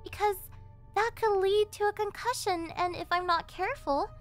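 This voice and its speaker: A woman's voice: very high-pitched, normal speed. A woman playing an obsessive young cafe server turned kidnapper in an anime-style roleplay, sugary, teasing and possessive. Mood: smug. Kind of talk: yandere ASMR roleplay acting, anime-style. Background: music.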